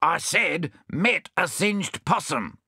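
Bluff storybook hothead, raised and loud, a man doing the voice. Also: fairly high pitch, sing-song, takes it slowly, slightly rough, dry recording, clean studio sound, voice pushed up, exaggerated voice. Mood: impatient, roaring. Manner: loud, impatient. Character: blunt, hot-tempered male adventurer.

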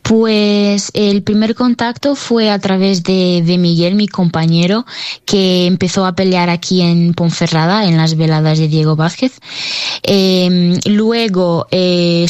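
Young Portuguese-accented woman fighter, lowish voice, smooth and dry, halting delivery. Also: a little mellow, dry recording, dull audio. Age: 20s. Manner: hesitant, repeats words and restarts. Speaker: professional fighter. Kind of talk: interview answers.

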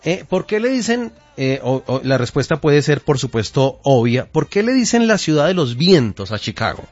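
Chatty male radio host, slightly high. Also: very animated, moderate pace, a little mellow, no echo. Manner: chatty, rambling with hesitations. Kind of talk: conversational radio interview. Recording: dull lo-fi radio audio, faint music.

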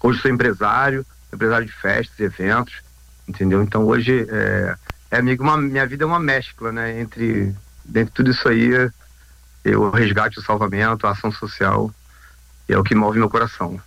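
A man's voice: lowish voice, steady tone, moderate pace, creaky, fairly bright tone, no room sound. A man in his fifties with a Rio de Janeiro Brazilian accent, speaking informally as an interview guest, repeating phrases and adding tag questions. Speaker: interview guest, volunteer rescue group founder.

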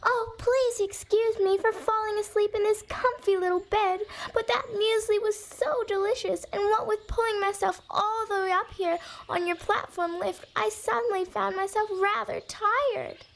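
A woman's voice: very high-pitched, fairly even intonation, moderate pace, creaky, few pauses, fairly bright tone, a little hiss or hum, pitched above the performer's own voice, heavily acted. A woman voicing a polite young girl who apologises sweetly in a read-aloud story. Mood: apologetic.

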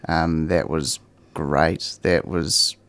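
A young man with a New Zealand accent, chatting as a guest on a talk show with a relaxed manner and lots of ums. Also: a bass voice, extremely slow, dry recording. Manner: relaxed, many ums and fillers. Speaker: guest, cycling content creator. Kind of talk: casual interview chat.

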